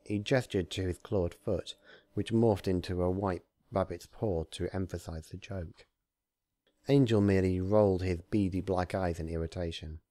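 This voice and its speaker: Low mellow guy narrating fan fiction, really dry close sound, amateur reader. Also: steady tone, normal speed, no echo. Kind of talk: story narration and informal commentary.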